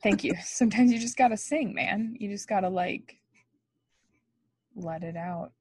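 A woman's voice: medium pitch, measured pace, warm tone, breathy edge, no echo. A woman co-hosting a podcast, telling a true-crime story in a chatty, laughing way with lots of ums. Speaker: podcast co-host.

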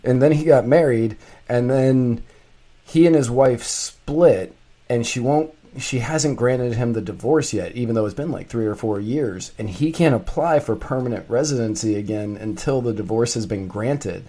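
A man co-hosting a talk radio show, recounting a friend's situation in a relaxed, chatty way with lots of fillers. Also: medium pitch, moderate pace. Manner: casual storyteller, frequent fillers like "like" and "um". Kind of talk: casual political talk radio.

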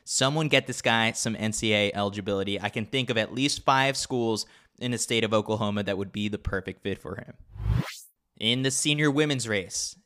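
A man's voice: lowish voice, fairly quick, pushed and bright. A man reading a scripted sports newsletter with wry, tongue-in-cheek commentary. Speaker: podcast reader of a sports newsletter.

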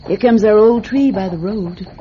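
A woman playing a gentle, indulgent farm mother in a radio drama. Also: mid-range voice, moderate pace, close to an everyday voice. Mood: tender. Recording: dull, noisy radio recording with crickets.

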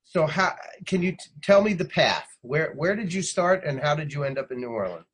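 Halting male interviewer, slightly high-pitched. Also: expressive. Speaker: interviewer, host. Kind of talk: casual interview with guest. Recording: faint background noise.

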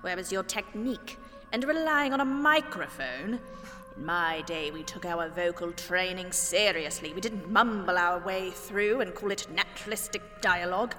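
A woman's voice: fairly low pitch, normal speed, a bit bright, lightly acted. Woman doing snooty old theater ghost lady, very proper and scornful, big echoey hall, music behind. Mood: indignant. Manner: pompous, theatrical, scornful, precise diction. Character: haughty old ghost of a stage actress, classically trained. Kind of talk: audio drama acting. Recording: long hall reverb.

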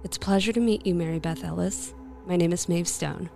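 Calm, confident woman demon hunter voiced by an actress, low-pitched. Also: a little fast, gravelly, soft background music. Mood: gentle. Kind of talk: scripted radio play acting.

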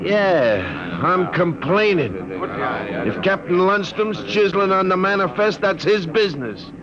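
A man's voice: high-pitched, very animated, unhurried, a crowd in the background. An actor playing a defiant, hot-headed spaceship crewman, indignant and combative, in an old radio drama. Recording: noisy vintage radio broadcast.

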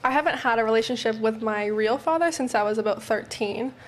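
A woman's voice: on the high side, a little fast. A woman on a casual podcast panel, talking in a chatty, rambling way and saying "like" often. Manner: chatty, rambling, uses "like" a lot.

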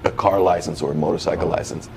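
Deep, gravelly man telling stories casually. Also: fast talker, over a noisy crowd. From Netherlands. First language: Dutch. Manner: animated slangy retelling with quoted dialogue and swearing. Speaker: guest, professional dancer. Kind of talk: casual conversational storytelling.

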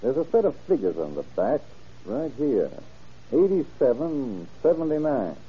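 A methodical middle-aged country sheriff in a radio crime drama. His voice is rough-edged and highly inflected, with plain, folksy phrasing. The sound is the dulled one of a vintage broadcast. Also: sing-song, dull audio, heavily acted. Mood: folksy, inquiring. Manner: folksy, plain-spoken, deliberate. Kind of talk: old-time radio crime drama acting.

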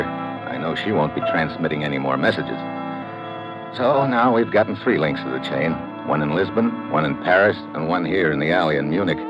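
An actor playing a tough, terse secret agent in a 1950s radio drama, speaking and narrating in a clipped, hardboiled way. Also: male voice, rumbling low. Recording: muffled old radio recording, music behind.

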